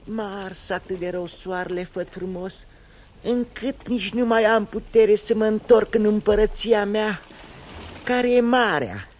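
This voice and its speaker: An actor voicing a tiny, sun-weakened crab emperor who speaks in a frail, pleading way in a 1960s radio drama. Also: male voice, high voice, natural voice.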